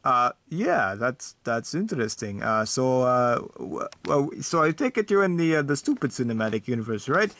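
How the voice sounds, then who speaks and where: A man voicing a vampire talk show host who is fussy, excitable and hesitant, in a comedy stop-motion show. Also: mid-range voice, normal speed, hardly any pauses. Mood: chatty.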